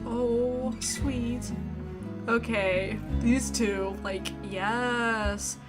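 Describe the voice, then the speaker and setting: A woman's voice: a little high, steady tone, drawn-out words, over music. A woman giving let's play commentary, reading visual novel narration aloud and reacting in a casual way. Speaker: video game streamer.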